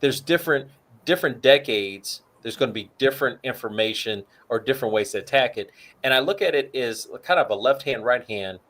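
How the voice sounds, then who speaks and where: A man's voice: medium pitch, lively intonation, brisk, fairly bright tone. A man co-hosting a talk show, casually walking through retirement numbers with plenty of "you know" fillers. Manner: works through figures aloud, many fillers. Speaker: co-host.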